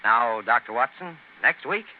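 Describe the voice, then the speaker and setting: A man's voice: mid-range voice, lively intonation, normal speed. A man with an American accent announcing in scripted 1930s radio style, hosting and reading sponsor messages. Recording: tinny, noisy 1930s radio broadcast.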